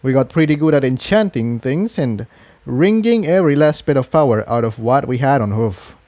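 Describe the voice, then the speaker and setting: A man's voice: medium pitch, animated, normal speed, warm tone, dry recording, lightly acted. A man voicing a clever, self-assured male pony inventor in a matter-of-fact way for a storybook reading. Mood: confident.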